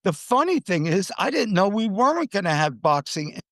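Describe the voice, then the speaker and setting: A man's voice: high voice, big pitch swings, fairly quick, a bit bright, dry recording, clean studio sound. An American man hosting a podcast, giving conversational commentary on the news. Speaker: podcast host.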